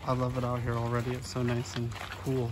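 A man's voice: mid-range voice, monotone, a little fast. A man in his thirties talking casually as a vlogger while filming himself outdoors. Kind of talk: casual vlog narration. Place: outdoors at a farm nursery. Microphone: handheld phone at arm's length. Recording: faint outdoor background noise.

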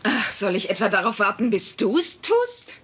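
A woman's voice: mid-range voice, huge pitch swings, moderate pace, heavy background noise. An actress playing a bossy, volatile working-class wife and mother in a sitcom dub, with a nagging, sarcastic manner. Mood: bossy, exasperated. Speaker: voice actor in a German sitcom dub.

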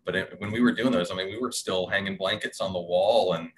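Low-voiced man over noisy video call. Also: fairly even intonation, fast. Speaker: panelist, audio drama producer. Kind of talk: casual panel chat. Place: indoor room, on a video call. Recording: video call with clear background noise.

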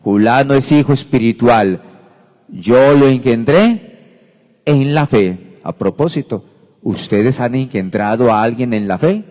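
A priest in his fifties delivers a homily from the lectern, speaking slowly and with emphasis, often repeating himself. He holds the microphone in his hand. His voice sits at an ordinary pitch for a man and carries a long echo through the church. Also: a little mellow. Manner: unhurried, emphatic, repeats phrases, gestures broadly. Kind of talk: homily at Mass. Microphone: handheld microphone. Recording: reverberant church hall.

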